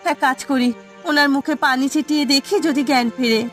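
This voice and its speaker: A woman's voice: sits high, steady tone, fairly quick, a bit bright. A woman voicing an anxious, devoted village wife in a cartoon, fretful and coaxing as she fusses. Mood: alarmed. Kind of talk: cartoon voice acting. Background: background music.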